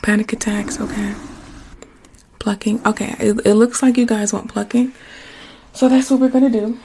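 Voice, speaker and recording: Hoarse woman chatting to her live viewers. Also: fairly high pitch, little pitch movement, moderate pace, a little hiss or hum. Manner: warm, reassuring, repeats herself. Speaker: ASMR livestream host. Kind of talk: casual chat to live viewers.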